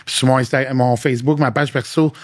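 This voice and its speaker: A man's voice: medium pitch, fairly even intonation, brisk, dry recording. A man with a Québécois French accent, a fitness coach talking frankly as a podcast guest, slipping English slang into casual chat. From Quebec.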